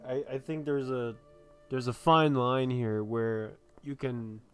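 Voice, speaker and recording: A man's voice: medium pitch, fairly even intonation, slow, smooth, faint music behind. A man giving casual, hesitant, off-the-cuff commentary while playing a video game. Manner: hesitant, rambling.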